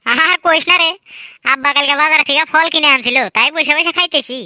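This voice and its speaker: A high-pitched woman with a very bright, sharp tone speaks a rural Bangladeshi Bangla dialect. She voices comic cartoon banter in a lively, boastful, teasing way. The sound is dry and close to the mic. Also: fairly quick, no room sound. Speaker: cartoon voice artist and narrator. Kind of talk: comedic cartoon voice acting.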